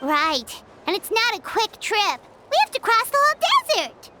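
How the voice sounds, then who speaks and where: A woman voicing a tiny, excitable childlike fairy companion in a video game, squeaky and chirpy. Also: moderate pace, very noisy. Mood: curious. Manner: chirpy, refers to self by name. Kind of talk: video game character acting. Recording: loud game music behind. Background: game music.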